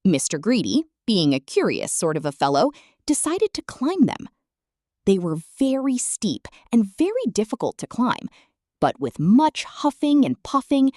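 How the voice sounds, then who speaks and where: A woman reading a children's story aloud as narrator, expressively and with deliberate emphasis on descriptive words. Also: medium pitch, a little mellow, no room sound, studio quality.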